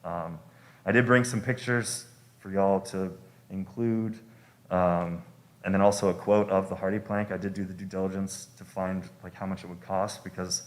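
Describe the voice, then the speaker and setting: Young male homeowner, low voice, addressing a board politely, a little unsure, plain informal delivery. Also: level tone, normal speed. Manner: polite, deferential, hesitant. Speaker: homeowner applicant at a public hearing. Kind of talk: informal public testimony. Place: public meeting room.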